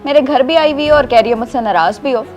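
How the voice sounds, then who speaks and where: Woman playing emotional young wife in a moral drama, high voice, music behind her. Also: fast, a little mellow, lo-fi sound, close to an everyday voice. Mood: defensive, placating. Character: emotional, impulsive young wife, defensive and easily swayed. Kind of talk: acted moral-story drama dialogue.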